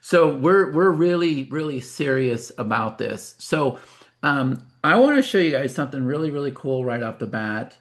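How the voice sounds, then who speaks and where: A man's voice: medium pitch, animated, normal speed. A man of fifty giving an informal sales presentation as a webinar host, talking conversationally with folksy asides.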